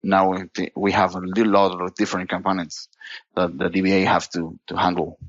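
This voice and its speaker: A man from Costa Rica with a Costa Rican Spanish accent, talking as a guest in a casual podcast interview, halting and restarting his sentences and leaning on "you know". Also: sits low, level tone, no room sound, lo-fi sound. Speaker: guest data platform expert.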